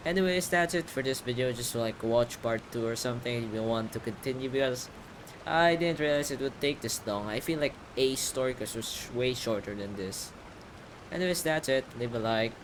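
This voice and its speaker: A man's voice: medium pitch, normal speed, background noise. A man giving casual playthrough commentary on a video game, informal, joking and sweary. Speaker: gaming video creator.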